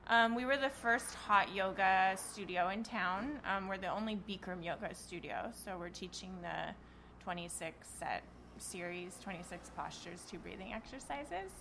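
A woman's voice: mid-range voice, moderate pace, vocal fry, pushed and bright, slight background noise, rough recording. A middle-aged woman answering interview questions casually, with some fillers. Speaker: yoga studio owner.

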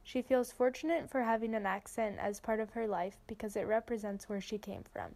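A teenage woman reading a student news report in a clear, measured way. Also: medium pitch, lively intonation, darkish tone. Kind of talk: scripted news narration.

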